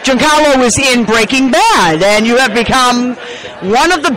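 A woman's voice: high-pitched, animated, moderate pace, low-quality recording. A middle-aged woman interviewing an actor on a red carpet, gushing and chatty, speaking into a handheld mic. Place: outdoor red carpet at a film festival event. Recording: crowd noise behind at an outdoor event. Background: crowd chatter.

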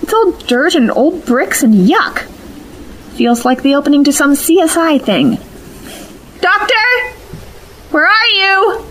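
A woman playing a sharp, sardonic young time traveller in an audio drama, dry and exasperated in manner. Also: high voice, sing-song, slow, long pauses, a little hiss or hum, heavily acted, rough recording.

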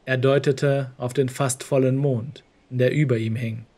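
A man's voice: mid-range voice, fairly even intonation, measured pace, mellow. A man narrating a scripted horror story in the role of storyteller. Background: music.

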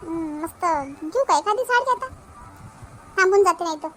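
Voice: extremely high pitch, animated, normal speed, a bit bright. A performer voicing a chatty young married village housewife in a cartoon family comedy, with a childlike voice and a colloquial Vidarbha Marathi accent.

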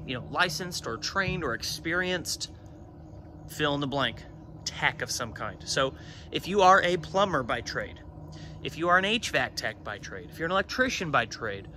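A man's voice: fairly high pitch, animated, a little slow. Plain-spoken man filming outdoors on a phone. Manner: plain-spoken, matter-of-fact. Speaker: renovation loan consultant. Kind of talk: casual explainer to camera. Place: outdoors by a brick building on a street. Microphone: phone camera held at arm's length. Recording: outdoor street noise behind.

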